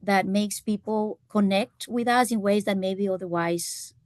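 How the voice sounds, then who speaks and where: A woman's voice: medium pitch, a little slow, a little mellow, dry recording. A woman with a Costa Rican Spanish accent, a native Spanish speaker, speaking earnestly as a panelist with many "eh" fillers. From Costa Rica. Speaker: panelist, economics faculty. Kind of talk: panel discussion. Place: university event room.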